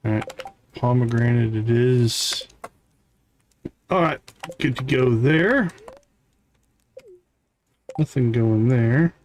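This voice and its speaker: A man's voice: medium pitch, takes it slowly, pauses often, a little mellow, slight background noise. A man giving casual live gameplay commentary as a streamer, thinking aloud to himself in short fragments. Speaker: game streamer.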